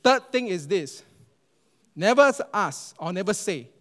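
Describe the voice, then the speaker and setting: A man with a Singaporean English accent preaching a sermon as pastor, animated and emphatic, repeating phrases for effect. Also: sits high, a little slow. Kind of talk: sermon to a congregation. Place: church auditorium. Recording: long reverb of a large church hall.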